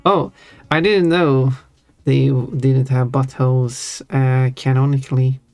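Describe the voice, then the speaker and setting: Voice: medium pitch, fairly even intonation, takes it slowly, a little mellow, no echo. A live streamer chatting casually while drawing, rambling and correcting themself with small giggles. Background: faint background music.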